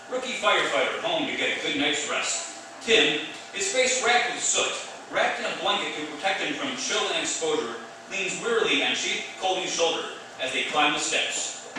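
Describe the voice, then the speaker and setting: Man as high-pitched dramatic radio announcer. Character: dramatic, booming old-time radio announcer. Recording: very echoey, distant mic, clear background noise.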